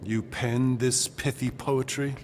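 A man's voice: medium pitch, steady tone, slow, close to an everyday voice. Male actor as haughty cosmic warrior, theatrical, ornate diction, video game, faint noise, long reverb. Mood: scornful. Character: haughty golden cosmic warrior speaking in florid alliteration.

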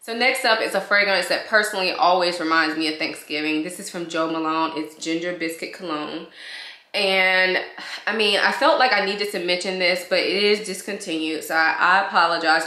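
A woman's voice: on the low side, steady tone, a little fast, bright, forceful tone, reverberant. Chatty woman getting over a cold. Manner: chatty, enthusiastic, repeats praise. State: recovering from a cold, slightly hoarse. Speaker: beauty vlogger. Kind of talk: casual review to camera. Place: living room at home. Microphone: camera in front, waist-up framing.

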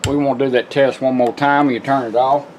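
A man's voice: medium pitch, flat delivery, fairly quick, over loud music. A man giving a casual, folksy, step-by-step product demonstration in an informal way. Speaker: vintage car radio restorer demonstrating. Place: indoor workshop bench.

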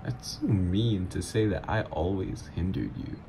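A man's voice: sits low, expressive, unhurried, creaky, dark tone, a little hiss or hum, full character voice. A man voicing a teasing supervillain ex. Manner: teasing, playful, flirtatious. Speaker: voice actor. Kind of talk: ASMR roleplay audio.

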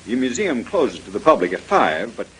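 An actor playing a fussy, opinionated museum doctor in a 1930s radio mystery, confiding and a little hesitant, with a husky voice. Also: male voice, medium pitch, very animated, moderate pace, fairly bright tone, slight background noise, low-quality recording.

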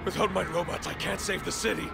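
An actor playing a desperate, vengeful mad scientist in a video game, grandiose and theatrical, with a strained delivery. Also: male voice, high-pitched, steady tone, fast talker, gravelly. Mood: defeated, stunned. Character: desperate vengeful male mad scientist, robot-building villain. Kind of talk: dramatic video game villain acting. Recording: loud action music behind the voice.

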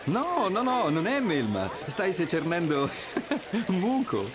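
A man playing a suave, playful young frog prince in an animated video game, smooth-talking and teasing. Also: high-pitched, slow pace, over a noisy crowd, exaggerated voice. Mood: explaining. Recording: loud noise with clicks behind.

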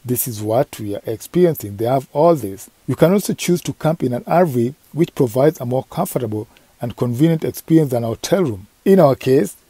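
A man with a husky voice reading a scripted voice-over for a travel vlog. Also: medium pitch, animated, a little fast, dark tone, dry recording. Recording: dry voice-over over music.

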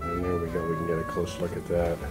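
A man's voice: low-pitched, completely flat delivery, quick pace, music in the background. A man reporting and interviewing casually on camera at a trade show in a hotel room.

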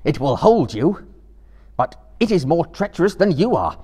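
A man's voice: on the high side, big pitch swings, normal speed, dark tone, husky, pitched above the performer's own voice. A man playing a stern, commanding young master in a dramatic book reading, solemn and emphatic. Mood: stern warning.

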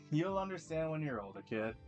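A man's voice: a little high, sing-song, normal speed, a little mellow, faint music behind, pitched above the performer's own voice. A man voicing a teasing, brash older male college buddy in a visual novel. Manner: playful, ribbing, brash.

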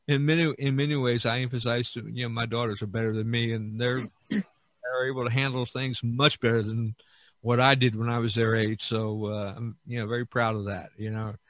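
A middle-aged or older man hosting a casual podcast, talking in a halting, rambling way with many pauses. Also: mid-range voice, fairly even intonation, a bit bright, dry recording. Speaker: podcast host.

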